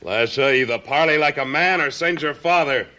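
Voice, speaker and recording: An American actor playing a firm, level-headed rancher with a terse, commanding manner in a 1950s radio western. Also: male voice, a little high, moderate pace, sharp tone. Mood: urgent, commanding. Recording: noisy old 1950s radio recording.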